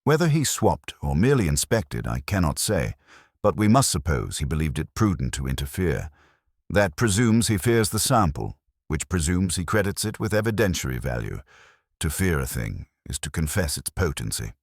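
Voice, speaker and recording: A man's voice: deep voice, big pitch swings, normal speed, dry recording, studio quality, heavily acted. A man narrating an audiobook as a pompous, commanding older detective with formal, pronouncing speech. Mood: stern, deliberate.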